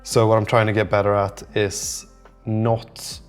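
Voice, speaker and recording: A man's voice: on the low side, flat delivery, unhurried, faint music behind. A man rambling and thinking aloud in an unscripted, casual solo vlog monologue. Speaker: solo app developer vlogger.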